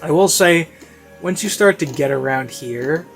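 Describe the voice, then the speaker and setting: A man's voice: a little high, unhurried, creaky. Rambling man over classical music. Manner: rambling, informal, repeats phrases for emphasis. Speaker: video reviewer. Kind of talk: casual unscripted spoken review. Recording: classical music playing behind voice. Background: baroque classical music.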